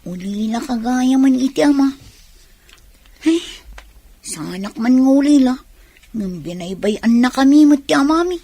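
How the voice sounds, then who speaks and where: A woman's voice: sits high, animated, measured pace, pauses often, dark tone, dry recording, exaggerated voice. A woman with a Filipino accent playing a wistful, grateful young village woman in a radio drama, with a plaintive manner.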